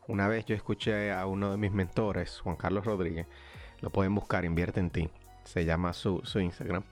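A man's voice: low voice, flat delivery, moderate pace, soft background music. A man hosting a motivational podcast, talking in an encouraging, conversational way. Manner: encouraging, motivational, frequent restarts. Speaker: podcast host. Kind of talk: casual conversational interview.

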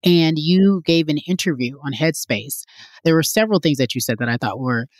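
A woman's voice: deep voice, lively intonation, moderate pace, dry recording. A woman hosting an interview, chatty and affirming, often backing up her guest. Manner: warm, chatty, affirming with frequent uh-huhs. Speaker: interview host. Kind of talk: conversational interview.

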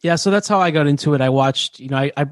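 A man's voice: on the high side, fast, no echo, clean studio sound. A man hosting a podcast, telling stories in a casual, chatty and enthusiastic way. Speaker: podcast host. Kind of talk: casual conversational interview.

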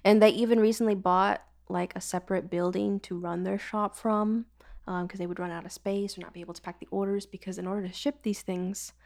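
Co-host, woman, gravelly and rambling, easy casual chat. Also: mid-range voice, steady tone, brisk, darkish tone. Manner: chatty, rambling, many fillers. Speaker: podcast co-host.

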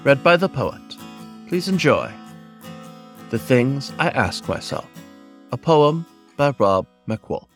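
A man reciting his own poem in an earnest, measured, dramatic way, with deliberate pauses between phrases. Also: mid-range voice, very animated, soft background music. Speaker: poet and podcast host reading own poem.

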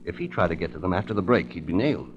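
A man with an American accent playing a suave, wry insurance investigator who narrates his case in measured 1940s radio drama style. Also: low-pitched, animated, dull audio. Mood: certain, explaining.

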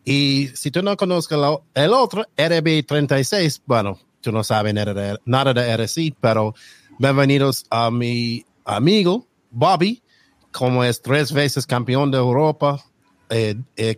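A man whose first language is English, hosting a casual podcast in halting Spanish and slipping into English now and then. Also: medium pitch, no echo. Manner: halting non-native Spanish with slips into English. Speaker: podcast host.

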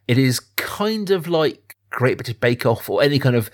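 A man's voice: medium pitch, big pitch swings, moderate pace. A man co-hosting a casual film podcast, talking in a conversational, self-deprecating way. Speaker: podcast co-host.

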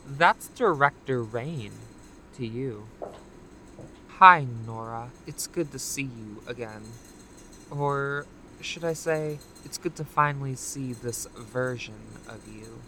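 Smug, slow-talking villainess. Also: female voice, rumbling low, bright, forceful tone, slight background noise, full character voice, low-quality recording. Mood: smug, teasing. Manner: theatrical, teasing, condescending. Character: smug, taunting female time-traveling corporate executive villain. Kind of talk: audio drama acting.

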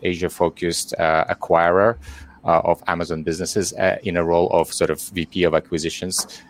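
Webinar guest: middle-aged man, Ukrainian accent, very low pitch, halting delivery. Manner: hesitant, many fillers and restarts. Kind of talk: webinar interview.

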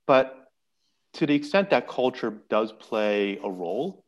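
A man's voice: medium pitch, very animated, measured pace, highs cut off. A man speaking as a podcast guest, explaining earnestly and often restarting his words. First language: English. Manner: earnest, explanatory, repeats words while thinking. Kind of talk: casual podcast conversation.

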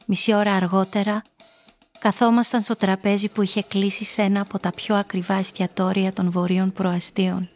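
Woman playing a cool seductress narrator, fairly even-toned. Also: medium pitch, faint music behind, lightly acted. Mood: self-satisfied, seductive. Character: seductive, cool, calculating woman narrating her own hunt. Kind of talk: first-person radio drama narration.

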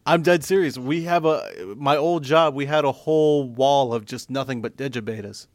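Young male co-host, mid-pitched, techy and jokey, dry close sound. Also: moderate pace, no room sound. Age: 20s. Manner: technical, joking, laughs often. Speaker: podcast co-host. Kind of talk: casual podcast chat.

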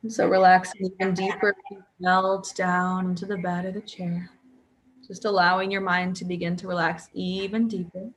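A woman guiding a sleep hypnosis session as a hypnotherapist, calm, with drawn-out pauses and audible breaths. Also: lowish voice, low-quality recording. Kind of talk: guided sleep hypnosis, soothing relaxation script.

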